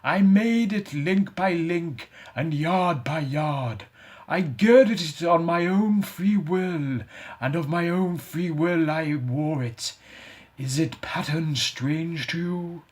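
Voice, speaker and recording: A man voicing the mournful ghost of a dead businessman who drags a chain and laments in a solemn, drawn-out wail. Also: fairly high pitch, darkish tone, voice pushed up. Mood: lamenting.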